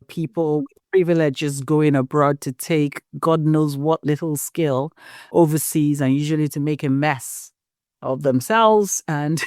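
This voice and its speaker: A podcast host with a frank, opinionated manner, leading a chatty interview. The voice sits at a middling pitch and is recorded dry and close. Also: no room sound.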